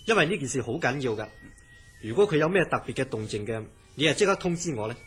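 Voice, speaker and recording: A man's voice: medium pitch, animated, fast talker, a bit bright, breathy edge, soft background music. A man playing a grave, authoritative hospital director in a Cantonese radio horror drama, with a Hong Kong accent. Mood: firm, instructing.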